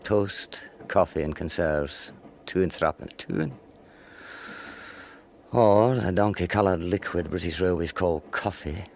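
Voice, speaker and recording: A man acting a reserved, gloomy older gentleman in a radio drama. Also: low voice, a little slow, creaky. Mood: glum, grumbling. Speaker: actor in radio play. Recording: noisy train ambience behind.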